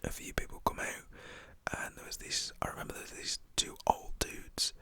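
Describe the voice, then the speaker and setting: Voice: normal speed, bright, forceful tone, no echo. A young adult telling a story in a whispered, casual, slang-filled ASMR style.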